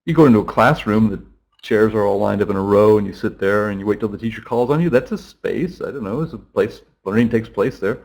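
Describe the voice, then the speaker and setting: A man's voice: lowish voice, fairly quick, creaky, a little mellow. A middle-aged man, a teacher being interviewed, explaining in a loose conversational way with frequent fillers and restarts. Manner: rambling, thinking aloud with many restarts and fillers.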